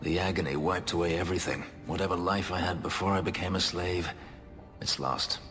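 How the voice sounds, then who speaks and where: An actor playing a brooding, guarded elf who escaped slavery, speaking in a measured, formal, wryly understated way in a video game. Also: male voice, fairly even intonation, fairly bright tone. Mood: bitter, pained. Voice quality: deep, low voice. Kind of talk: dramatic video game acting. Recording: long reverb, music behind.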